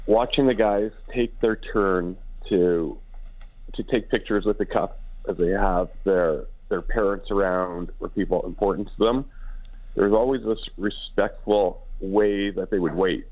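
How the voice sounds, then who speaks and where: A man speaks in a low, raspy voice, worn hoarse from celebrating. He talks haltingly as an interview guest, often repeating words while he finds the next thought. The sound is thin and muffled, like a telephone line. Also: fairly even intonation. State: hoarse and short of sleep after celebrating. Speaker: interview guest, hockey team co-owner and businessman. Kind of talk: casual radio interview. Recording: dull, phone-like band-limited line.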